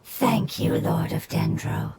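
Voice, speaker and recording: A woman voicing a solemn, devoted nonhuman follower in an anime game, speaking formally and reverently with a breathy delivery. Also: slow, very noisy, lightly acted. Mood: grateful, reverent. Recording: loud music over the voice.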